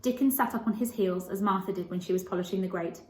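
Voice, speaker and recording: A woman's voice: mid-range voice, fast talker. A woman narrating a children's novel as a storybook reader, in scripted read-aloud style. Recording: echoey room.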